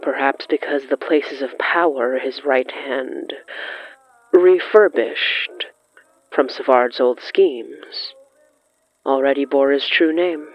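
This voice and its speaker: A narrator reading scripted horror fiction in the third person, brooding and dramatic, with lingering pauses. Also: mid-range voice, no room sound. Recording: muffled lo-fi sound with music underneath. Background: music.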